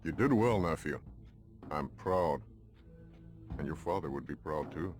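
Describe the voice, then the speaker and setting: An actor playing a grave, kindly older uncle in a game cutscene, solemn and measured. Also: male voice, rumbling low, animated, soft background music. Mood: proud, solemn. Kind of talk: video game cutscene acting.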